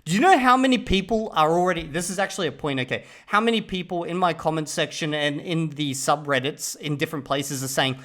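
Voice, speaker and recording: Male vlogger, slightly high voice; animated, exasperated commentary, translating clips line by line. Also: fairly quick, hardly any pauses. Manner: animated, exasperated ranting with swearing. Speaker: language-learning vlogger. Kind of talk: casual commentary reacting to clips.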